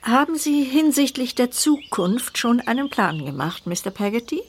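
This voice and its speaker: An actress playing a kindly, direct elderly great-aunt in a radio play. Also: female voice, a little high, very animated, normal speed, a little hiss or hum. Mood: glad, concerned.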